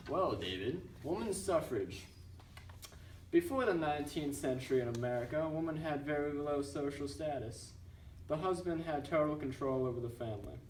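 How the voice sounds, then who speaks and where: A young man reading a scripted school newscast report in a halting, recited manner. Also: a little high, lively intonation, darkish tone. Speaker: student news presenter in a class project. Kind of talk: scripted narration read as a newscast. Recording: roomy, distant mic with background noise.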